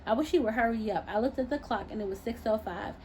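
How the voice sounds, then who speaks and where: A woman reading her own novel aloud as expressive first-person narration. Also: a little high, fast, a little hiss or hum.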